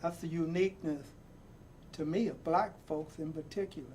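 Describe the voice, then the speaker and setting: A man reading a picture book aloud in an emphatic, deliberate way, repeating phrases for weight and adding his own reflective asides. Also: fairly high pitch, steady tone, darkish tone, slight background noise. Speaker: storybook reader and presenter.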